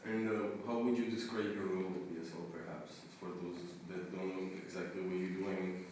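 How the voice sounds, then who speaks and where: A man's voice: deep voice, fairly even intonation, a little fast, darkish tone. A young man interviewing a staff member for a student live stream, asking friendly questions with many hesitations. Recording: roomy echo, distant mic, noisy background.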